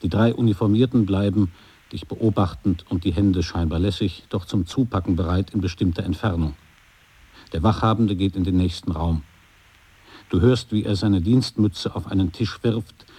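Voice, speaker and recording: A man with a husky, dark voice reading scripted second-person narration in a radio play. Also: low-pitched.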